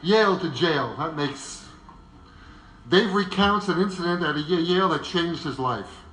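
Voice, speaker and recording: A man's voice: on the high side, expressive, unhurried, bright, forceful tone. A man presenting a semi-scripted biographical narration in a conversational way, with wry asides. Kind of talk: semi-scripted narration before a live audience. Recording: echoey live room.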